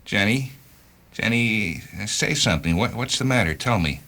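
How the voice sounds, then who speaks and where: Radio drama, male reader as shaken driver: lowish pitch, tense bright tone, halting delivery. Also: animated. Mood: anxious, pleading. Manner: halting, stammering, pleading. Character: shaken hard-drinking male driver of a talking car. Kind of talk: radio story character acting.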